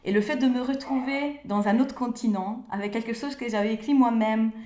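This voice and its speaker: A woman's voice: fairly high pitch, brisk. Woman speaking French in a roomy space. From Italy. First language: Italian. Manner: hesitant, repeats words, soft laughs. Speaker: guest, playwright. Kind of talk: conversational interview. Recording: roomy echo.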